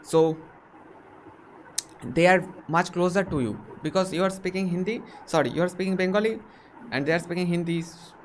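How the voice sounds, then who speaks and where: A man whose first language is not English, asking casual questions with hesitant, halting phrasing. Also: high-pitched, slight background noise, poor audio. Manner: hesitant, asks short questions. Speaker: interviewer. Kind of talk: casual conversation.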